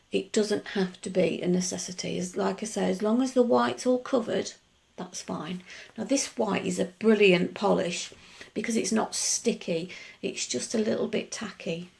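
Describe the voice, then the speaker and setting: Woman, middle-aged, gravelly morning voice, very creaky, chatty nail tutorial, mid pitch. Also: darkish tone. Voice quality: gruff, gravelly. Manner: chatty, rambling explanations with many asides. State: just woken up. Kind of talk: casual tutorial voice-over.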